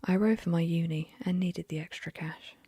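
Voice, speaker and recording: A woman's voice: on the low side, fairly even intonation, normal speed, mellow, slightly rough, no echo, clean studio sound. A woman whispering the lines of a friendly young male rowing instructor in a story reading.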